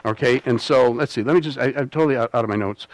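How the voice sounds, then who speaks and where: A man's voice: mid-range voice, fast talker, poor audio. A man from the United States preaching a rambling, conversational sermon, with frequent "all right?" check-ins and self-deprecating humor. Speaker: pastor.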